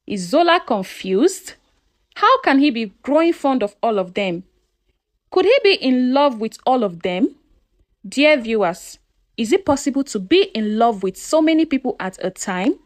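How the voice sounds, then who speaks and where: A woman's voice: sits high, big pitch swings, measured pace. A woman narrating a scripted folktale in a dramatic storytelling manner, posing questions to her listeners.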